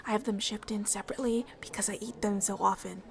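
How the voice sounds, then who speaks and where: A woman voicing a bubbly, friendly alien ambassador in an ASMR roleplay, chatty, excitable and quick to apologise. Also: medium pitch, creaky, lightly acted.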